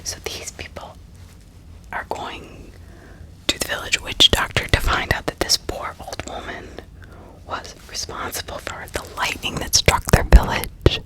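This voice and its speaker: A woman narrating true-crime stories in an ASMR style, in a breathy, unvoiced whisper with sympathetic, dramatic storytelling. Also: measured pace, low-quality recording. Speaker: ASMR host.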